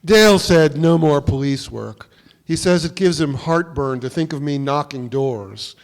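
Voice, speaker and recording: A man's voice: fairly high pitch, a little slow, vocal fry. A man playing a plain-spoken, awkward retired police detective in a staged play reading, with clipped, unpretentious delivery. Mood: sheepish, plain. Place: live on a theatre stage. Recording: reverberant theatre hall.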